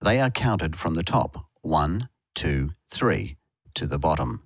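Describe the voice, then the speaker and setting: A man with a low voice narrates a software tutorial from a script. His intonation moves up and down in a lively way as he explains step by step. The sound is dry and close. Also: slow, breathy edge, no echo.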